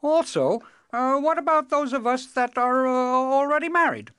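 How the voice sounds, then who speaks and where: A narrator putting on a gloomy, high-pitched grumbling cobbler. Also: normal speed, fairly bright tone, clean tone, dry recording. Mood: unimpressed. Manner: grumbling, blunt, haggling. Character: gloomy, skeptical, stubborn common cobbler.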